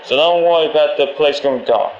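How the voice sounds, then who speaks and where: A man's voice: fairly high pitch, fairly quick. A man playing a friendly, nervous pizzeria employee in a game, leaving a hesitant, awkwardly upbeat training message over the phone. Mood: breezy, reassuring. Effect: telephone voicemail. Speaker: company trainer for night guards. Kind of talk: rambling recorded phone message, game voice acting. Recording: muffled, roomy phone audio with faint noise.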